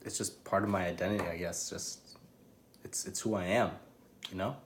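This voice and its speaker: Young man from New England, low and relaxed, faint room noise. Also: normal speed. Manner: laid-back, shrugging, lots of "I mean". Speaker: interviewee. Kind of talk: casual interview answers.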